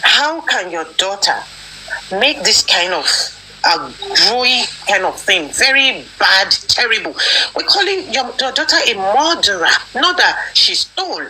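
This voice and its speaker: A woman's voice: medium pitch, very animated, a little slow, sharp tone, low-quality recording. A woman in her fifties with a Nigerian accent, speaking emphatically in a casual online discussion. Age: 50s. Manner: emphatic, agreeing interjections. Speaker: discussion participant.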